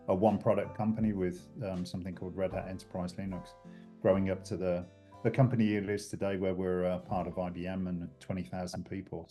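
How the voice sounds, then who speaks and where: Low-voiced British man, soft and mellow. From United Kingdom. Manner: reflective, many restarts and fillers. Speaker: guest. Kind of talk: conversational interview.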